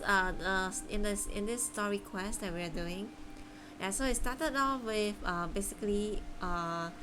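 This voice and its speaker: A woman's voice: mid-range voice, noisy background. A woman chatting casually as she livestreams a game, rambling and hesitant as she explains things. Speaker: streamer playing a story game.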